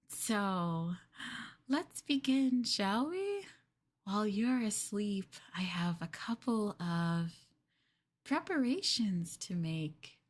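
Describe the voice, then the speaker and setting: Woman acting as slow-talking, sweetly possessive yandere girlfriend. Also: medium pitch. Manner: cooing, coaxing, with murmurs and giggles. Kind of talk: ASMR girlfriend roleplay.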